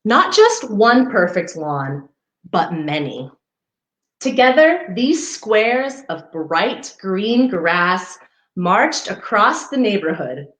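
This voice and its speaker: A woman reads a picture book aloud for children in an echoey room. Her delivery is unhurried and very animated, swinging up and down in pitch as she speaks directly to her young listeners. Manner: expressive, pausing to point out pictures to young listeners. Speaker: parks foundation outreach coordinator hosting story time. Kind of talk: read-aloud children's story time. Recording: roomy, echoey livestream sound.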